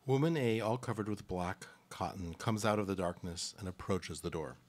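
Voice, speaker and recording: A man presenting and narrating a live radio play in a halting, deliberate way. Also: low-pitched, a little mellow. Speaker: presenter and stage-directions reader.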